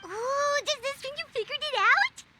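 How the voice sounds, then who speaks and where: A woman voicing a small, excitable, cheeky fairy companion in a video game, squeaky and childlike, exclamatory and blunt. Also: normal speed, very noisy. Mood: curious, chatty. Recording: game music over the voice.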